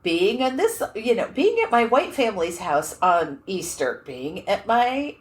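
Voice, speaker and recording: Lively, animated woman telling a story. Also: mid-range voice, measured pace. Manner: animated storytelling, laughs often. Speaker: podcast co-host. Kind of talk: casual chat. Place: room at a writers' conference.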